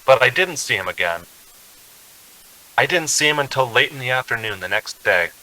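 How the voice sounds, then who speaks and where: A man playing a haunted, increasingly desperate driver in a radio drama, brooding and halting as he tells his story. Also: medium pitch, lively intonation, pushed and bright, low-quality recording. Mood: grim dread. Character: haunted, increasingly desperate male motorist narrating his journey. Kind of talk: dramatic radio play narration. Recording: music behind the voice.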